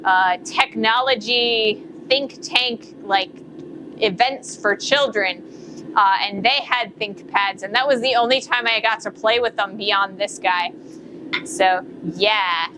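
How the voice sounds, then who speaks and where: Slow-talking woman blacksmith chatting on stream. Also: medium pitch, background noise. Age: 30s. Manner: rambling, many fillers and self-corrections. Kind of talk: casual live-stream chat while working. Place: forge workshop.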